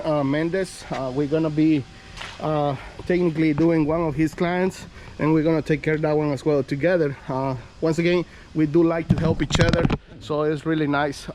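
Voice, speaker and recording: A man's voice: on the high side, noisy background. A man hosting a car detailing vlog in casual chat, rambling with lots of "you know" and "um", also interviewing a guest. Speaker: car detailer and channel host.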